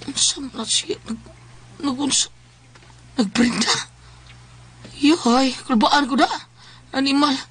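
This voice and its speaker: A man's voice: extremely high pitch, fairly even intonation, takes it slowly, long pauses, a bit bright, slight background noise, full character voice. A man playing a distressed, suspicious husband in a melodramatic comic radio drama, exclaiming and complaining with a Cebuano accent. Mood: alarmed.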